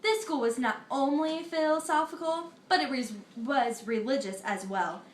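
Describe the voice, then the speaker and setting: Earnest Greek philosopher, played by a teenage girl; high, roomy school-project sound. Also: a little hiss or hum, close to an everyday voice. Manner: earnest, recited, a little halting. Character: ancient Greek philosopher Pythagoras, an older man, earnest. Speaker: student in a school history project. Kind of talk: amateur mock interview, reciting facts.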